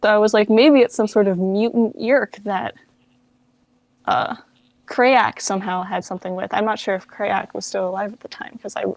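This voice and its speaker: A woman's voice: mid-range voice, fairly even intonation, normal speed, darkish tone, no room sound. An American woman giving an interview as a guest, chatting informally and thinking aloud. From United States. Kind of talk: casual chat.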